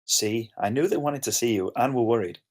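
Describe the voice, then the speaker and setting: A man's voice: mid-range voice, brisk, darkish tone, close to an everyday voice. A man playing a blunt, casual young roommate, defensive but caring, in an informal screenplay table read. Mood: concerned.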